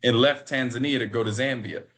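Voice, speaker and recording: An American man in his twenties, a podcast guest on a video call, telling a story in an animated way that repeats and restarts phrases. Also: mid-range voice, a little fast. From United States. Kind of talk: storytelling in a remote interview.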